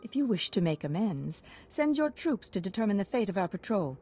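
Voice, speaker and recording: A woman's voice: mid-range voice, very animated, fairly quick, warm tone, breathy edge. A woman playing a poised, grave baroness with a formal, courtly manner in a video game. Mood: grave concern.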